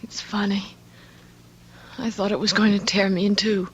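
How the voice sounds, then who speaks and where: A woman's voice: medium pitch, little pitch movement, normal speed, slightly rough. Casting: drained young woman in old radio drama, mid-range voice, muffled sound. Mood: weary, uneasy. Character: weary young pregnant wife recovering from pain. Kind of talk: vintage radio drama acting.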